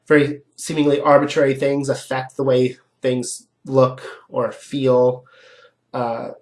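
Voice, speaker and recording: A man's voice: medium pitch, monotone, takes it slowly. Stuffy-nosed man giving a casual lecture. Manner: casual and explanatory, with frequent "um" and "right?". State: stuffy nose. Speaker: philosophy lecturer. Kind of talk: recorded online lecture.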